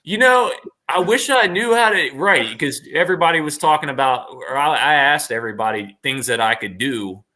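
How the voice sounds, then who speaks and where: A man's voice: a little high, animated, normal speed, pushed and bright. A man talking casually as an interview guest, enthusiastic and rambling, often repeating his phrases. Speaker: interview guest, online performer.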